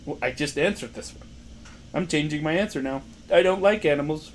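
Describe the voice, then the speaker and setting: Loud, playful young man. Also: on the high side, brisk, slight background noise. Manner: joking, playful, laughs often. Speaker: podcast co-host. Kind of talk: casual chat, reading quiz questions aloud.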